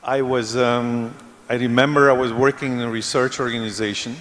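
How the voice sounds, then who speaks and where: A man's voice: medium pitch, fairly even intonation, moderate pace, a bit bright. A man from Belgium speaking on a conference panel, telling a long, rambling anecdote. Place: large conference hall. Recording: reverberant conference hall.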